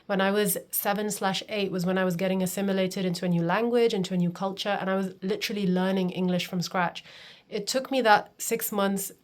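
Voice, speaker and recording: A woman's voice: medium pitch, fairly even intonation, fairly quick. A woman in her thirties, from Pakistan, talking earnestly and confidingly to camera as a homeschooling coach, often stressing her points with "literally". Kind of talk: personal talking-to-camera vlog.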